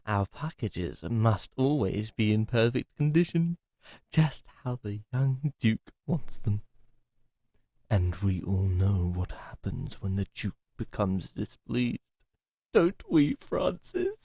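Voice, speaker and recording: A man acting in an audio roleplay as a playful, sinister manor servant, talking slowly and theatrically. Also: fairly low pitch, big pitch swings, creaky, dark tone, no room sound. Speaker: servant called Happiness greeting a captive.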